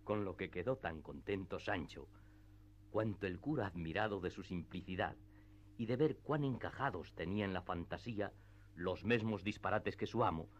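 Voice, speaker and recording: A man narrating a classic tale for radio theatre in a measured storytelling manner. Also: low-pitched, lively intonation, dull audio.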